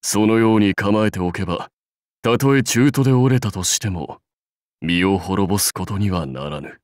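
An actor playing a proud, seasoned samurai warlord in a game, speaking in stately, measured, archaic phrases with wry authority. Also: male voice, fairly low pitch, dry recording, clean studio sound, close to an everyday voice. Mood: grave, advising. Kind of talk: scripted game character lines.

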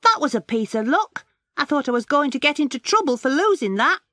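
A woman voicing an eager young village child in a children's storybook, with a bright, excited manner. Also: high-pitched, fairly quick, no room sound, studio quality. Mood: relieved.

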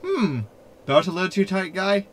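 A man's voice: high-pitched, very animated, moderate pace, heavy background noise. A man playing a cheeky, insulting, rebellious hologram in a sci-fi comedy audio drama, exclamatory and mocking.

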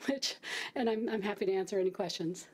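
A woman's voice: mid-range voice, quick pace, slightly rough. A middle-aged woman at a podium giving a staff briefing to a city council, talking conversationally with fillers and restarts. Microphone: podium microphone.

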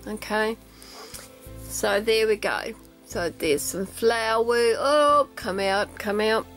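Aussie lady rambling about her scrapbook at the craft table, mid-pitch, faint music under. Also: slow pace, a bit bright, rough recording. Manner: chatty, rambling, lots of ums and checking "okay?". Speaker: craft vlogger. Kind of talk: casual show-and-tell craft video. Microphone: camera mic at a tabletop, hands only in shot.

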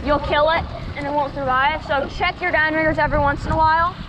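A man's voice: extremely high pitch, steady tone, quick pace. A teenage boy filming himself fishing from a small boat, chatting casually to his viewers and explaining his gear. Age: teens. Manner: chatty, keeps addressing viewers as guys. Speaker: fishing vlogger. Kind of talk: casual on-camera fishing vlog. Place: small open boat on foggy open water. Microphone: action camera on the boat. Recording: loud engine and water noise outdoors. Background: loud boat engine and water.

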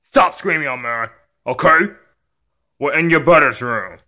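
A man's voice: a little high, a little slow, pushed and bright, pitched below the performer's own voice. A man voicing a stern, weary dad in an amateur skit, admonishing his rowdy child in a repetitive, subdued way. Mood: stern scolding.

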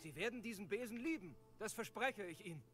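An actor playing an eager, earnest broom shopkeeper and inventor in a video game, polite and keen to sell. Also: male voice, sits high, animated, a little fast, a bit bright. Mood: friendly, selling. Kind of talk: acted video game dialogue. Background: background music.

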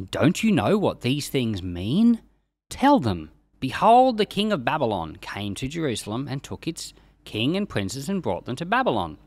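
A man's voice: medium pitch, dry recording. A man in his forties giving informal, explanatory Bible teaching, conversational but emphatic on key words, close to a desk microphone. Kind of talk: explanatory Bible teaching, reading scripture aloud. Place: small indoor study with bookshelves. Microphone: close desk microphone on boom arm.

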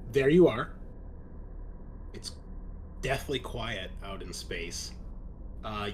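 A man's voice: lowish voice, a little hiss or hum. A man hosting as game master, chatting casually and explaining the scene to players and listeners in a loose, conversational way.

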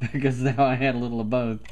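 A man's voice: mid-range voice, level tone, fairly quick, background noise. Chatty Southern man on CB radio. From South Carolina. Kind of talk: casual chat over CB radio. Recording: radio reception.

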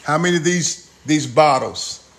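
A man's voice: a little high, a little slow, creaky. Teasing man off camera, noisy. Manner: teasing, playful. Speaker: co-host, asks viewer questions. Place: home kitchen, off camera.

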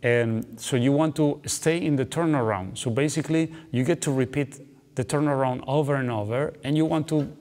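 A man's voice: medium pitch, normal speed. Man teaching guitar, chatty. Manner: relaxed, chatty, frequent "okay" and "you know" tags. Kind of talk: instructional explanation between playing. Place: dark studio, seated with guitar on lap. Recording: long reverb, guitar playing between phrases.

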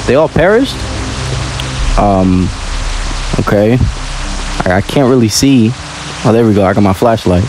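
A man's voice: on the low side, unhurried, long pauses, music in the background, low-quality recording. A man giving casual, slangy gameplay commentary as a gaming video host. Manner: animated, slangy reactions.

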